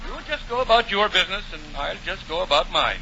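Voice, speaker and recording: Shrewd, jovial male detective, very animated, teasing, muffled 1940s radio comedy. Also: sits high, quick pace. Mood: self-assured. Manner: teasing, chuckling banter. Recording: muffled, noisy 1940 radio broadcast.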